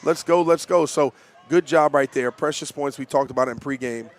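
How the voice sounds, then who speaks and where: A man with a stuffy, nasal voice from a cold, giving coach-like color commentary on a high school football game from the press box. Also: fairly quick. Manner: coach-like, folksy analysis.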